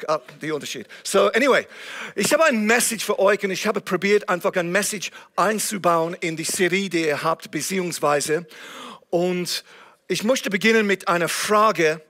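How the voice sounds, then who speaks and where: High bright voice, English preacher. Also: male voice. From England. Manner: earnest, searching for German words. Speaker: guest preacher. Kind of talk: sermon.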